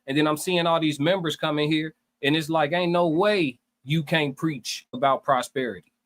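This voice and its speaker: A man with a slightly high voice co-hosts a livestream, sharing opinions in a casual way. He builds his points in repetitive lists and keeps checking that listeners follow. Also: fairly even intonation, normal speed, fairly bright tone. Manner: repetitive, builds points in lists, checks in with "you know what I'm saying". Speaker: livestream co-host.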